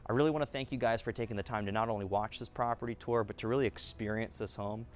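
A man in his thirties giving an upbeat real estate walkthrough of a house, listing features in quick bursts as he walks through the rooms. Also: lowish voice, steady tone. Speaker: real estate agent giving a home tour. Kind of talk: enthusiastic walkthrough tour. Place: inside and around a single-story house.

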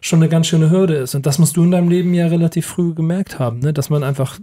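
A man's voice: on the high side, steady tone, fast, warm tone, no room sound. A man hosting a podcast, talking in a casual, conversational interview style. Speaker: podcast host.

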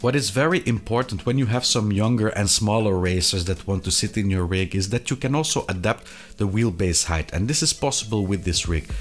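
A man in his thirties presenting a product review to camera, with a hesitant, halting delivery and frequent pauses. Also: lowish voice, soft background music. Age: 30s. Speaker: video reviewer of sim racing gear. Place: small indoor hobby room.

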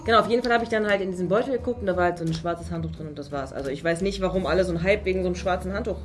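A woman's voice: on the low side, very fast. A young woman improvising as a suspect, chatty and evasive in a conversational way. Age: young adult. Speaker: suspect in a role-play game. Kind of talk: improvised conversational role-play. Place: small furnished room indoors. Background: music.

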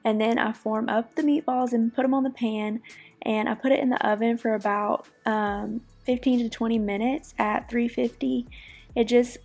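A woman casually narrating her cooking in a relaxed, chatty voice-over. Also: a little high, moderate pace, vocal fry. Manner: relaxed, chatty, friendly explaining. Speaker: cooking vlogger.

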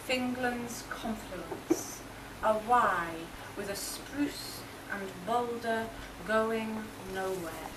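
A middle-aged woman gives a live poetry reading at a slow pace, phrase by phrase. Her voice sits at some distance from the microphone. The recording is heavily noisy. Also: fairly high pitch, reverberant. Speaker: poetry reader. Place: marquee with a small seated audience.

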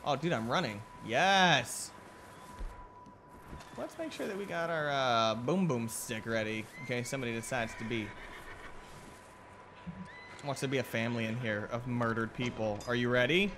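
A man's voice: mid-range voice, moderate pace, pauses often, background noise. A young man giving casual, joking gameplay commentary in a playful, goofy manner. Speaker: gaming YouTuber.

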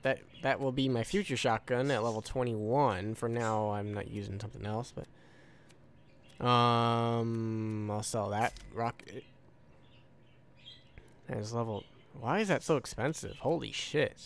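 A man's voice: mid-range voice, fairly even intonation, measured pace, pauses often, a little hiss or hum. A man giving casual live gameplay commentary, offhand and profane, musing aloud. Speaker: gamer recording a walkthrough.

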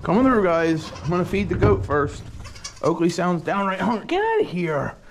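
A man's voice: high voice, big pitch swings, moderate pace, background noise. Plain-spoken folksy farm guy. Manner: plain-spoken, folksy, thinking aloud with fillers. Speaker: farm vlogger. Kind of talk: casual vlog narration. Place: small farm, barn and workshop.

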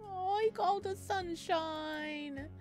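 A woman's voice: extremely high pitch, slow, darkish tone. A woman streaming a visual novel playthrough, reading aloud expressively with playful, chatty reactions. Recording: music playing behind the voice.

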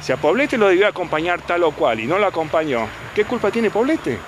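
A man's voice: sits high, sing-song, brisk. A man in his sixties, a defense lawyer talking to reporters outdoors into handheld mics, insistent and full of rhetorical questions. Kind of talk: press interview. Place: outdoors by a detention unit. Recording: outdoor street noise.

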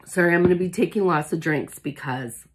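A woman in her forties who has lost her voice, talking hoarsely with a cough in a chatty, gushing unboxing vlog, seated in a small room in front of a phone camera. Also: lowish voice, brisk. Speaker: independent product consultant. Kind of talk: casual product unboxing vlog. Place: small indoor room with shelves, seated at a table.

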